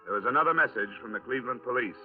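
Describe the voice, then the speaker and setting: A man's voice: medium pitch, animated, racing, close to an everyday voice. An actor playing a terse, matter-of-fact insurance investigator who narrates his case in a 1950s radio crime drama. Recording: restored 1950s radio, narrow band, faint music.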